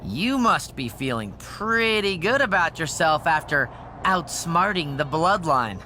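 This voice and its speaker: Bright-voiced, needling backstage wrestling official. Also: male voice, on the high side, big pitch swings, measured pace, slight background noise, heavily acted. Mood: smug.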